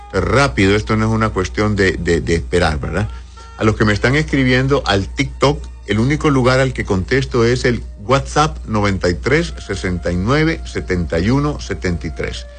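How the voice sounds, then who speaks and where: A very low-voiced man, a doctor who reads out viewers' messages and answers each one patiently. He calls people "corazón" as he advises them. Quiet music plays under his voice. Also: moderate pace. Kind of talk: live call-in health advice show.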